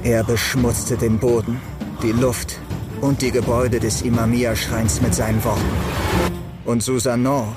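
A man's voice: medium pitch, flat delivery, unhurried. Male audio drama narrator, mid-range voice, scripted storytelling over prominent music. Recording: loud music behind narration.

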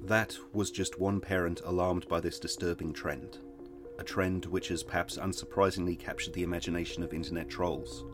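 A man's voice: low-pitched, little pitch movement, normal speed, close to an everyday voice. A man playing a serious news reporter delivering a formal scripted report in an audio drama. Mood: grave. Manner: formal, grave. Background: music.

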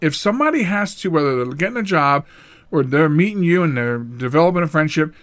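Middle-aged guy doing a solo podcast, rambly, restarts a lot, voice jumps up high when he makes a point, kinda high for a man. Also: fairly quick. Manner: animated, rambling with restarts, pitch jumps up for emphasis. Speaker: podcast host.

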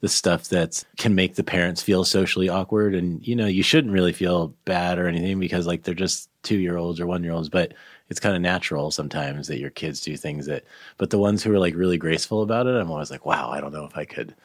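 A man's voice: sits low, steady tone, fairly quick, no room sound, clean studio sound. A man hosting an interview, musing aloud in a casual, rambling way with many fillers. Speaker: interviewer, host. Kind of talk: conversational interview.

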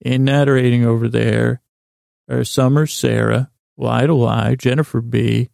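A man hosting a sleep podcast in a rambling, halting bedtime monologue, losing his thread and restarting in a gravelly voice. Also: medium pitch, fairly even intonation, a little mellow, dry recording, studio quality. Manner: meandering, halting, self-interrupting, absent-minded.

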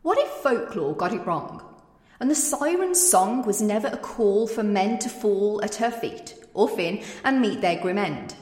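A woman's voice: mid-range voice, animated, measured pace, a little mellow. A woman performing spoken-word poetry with rhythmic, dramatic and pointed delivery. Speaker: poet. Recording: echoey room with long reverb.

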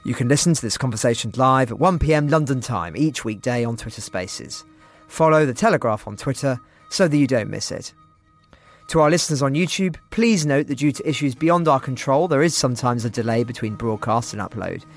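A man's voice: medium pitch, brisk. Male podcast host over faint music. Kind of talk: scripted outro narration. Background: music bed.

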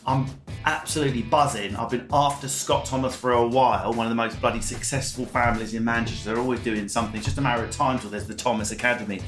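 A man hosting a chatty podcast, excitable and jokey, often stumbling and restarting mid-sentence. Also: mid-range voice, fast talker, roomy sound, faint music behind. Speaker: podcast host. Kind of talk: casual chat.

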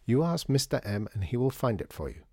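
A man voicing a proud, brisk woman grocer in a storybook, speaking in emphatic declarations. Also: medium pitch, very animated, dark tone, no echo, studio quality. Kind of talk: storybook character voice.

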